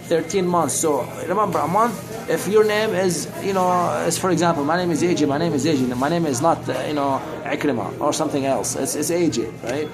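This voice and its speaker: A man's voice: fairly high pitch, fairly quick, few pauses. A middle-aged man from Syria talking casually and unscripted, explaining things in a preachy, repetitive, rambling way. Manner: rambling, repeats phrases for emphasis; preachy, addresses listener as brother. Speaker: street guitarist and talker. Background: guitar music.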